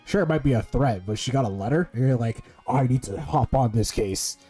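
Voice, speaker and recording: Mellow man doing game commentary. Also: medium pitch, expressive, a little fast, faint music behind. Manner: sweary, slangy. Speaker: gaming streamer. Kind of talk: casual playthrough commentary.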